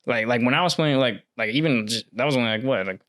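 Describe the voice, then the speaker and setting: A man's voice: medium pitch, fast talker. A man in his twenties, a pro soccer player, chatting casually in an interview with lots of "like" and restarts. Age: 20s. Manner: relaxed, heavy use of "like", restarts.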